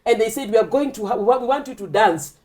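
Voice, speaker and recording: Deep-voiced Kenyan woman telling stories. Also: fast. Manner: lively storyteller, mixes in Swahili phrases. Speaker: podcast host. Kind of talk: casual podcast chat.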